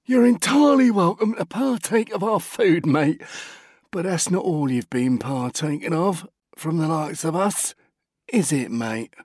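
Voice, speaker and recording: A man's voice: on the high side, expressive, unhurried, a little mellow, no echo, clean studio sound, pitched above the performer's own voice. A man voicing a blunt, accusing character who keeps calling people mate and talks in loose slang. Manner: drawled slang, ungrammatical.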